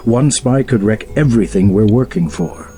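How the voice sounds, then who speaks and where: An actor playing a composed, formal man in a video game, speaking in measured tones. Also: lowish voice, warm tone, faint music behind, close to an everyday voice.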